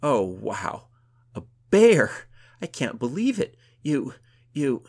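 Storybook heroine played by a man: excitable, teasing, declamatory, husky grain, pitched above his narration. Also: measured pace. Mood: amazed, elated. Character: exuberant, teasing Danish woman, storybook adventurer. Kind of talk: audiobook character dialogue.

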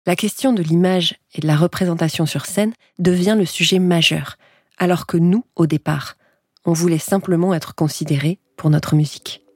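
A woman hosting a podcast, reading a scripted narration in a calm, measured way. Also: on the low side, husky, no echo, clean studio sound.

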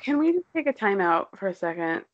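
A woman's voice: mid-range voice, sing-song, a little fast, lo-fi sound, a little hiss or hum. A young woman co-hosting a podcast, who talks bluntly and casually and laughs often. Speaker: podcast co-host. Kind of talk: casual chat.

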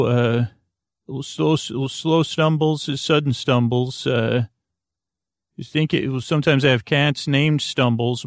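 A man hosting a bedtime podcast, with a creaky, dulcet voice, rambling and stumbling off topic with many fillers. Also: mid-range voice, no room sound, clean studio sound. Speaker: sleep podcast host. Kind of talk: meandering bedtime podcast intro.

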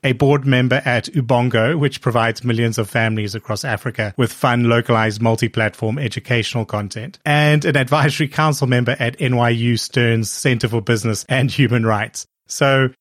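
A man's voice: medium pitch, steady tone, normal speed, hardly any pauses, no room sound, clean studio sound. A man hosting a podcast, reading a polished opening and then asking curious, articulate questions. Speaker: podcast host. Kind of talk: scripted intro and interview questions.

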